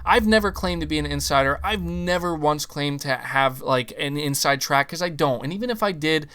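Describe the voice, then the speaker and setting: A young man hosting a gaming podcast, ranting in casual talk with some swearing, his voice starting to go hoarse. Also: fairly high pitch, moderate pace, pushed and bright. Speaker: podcast host.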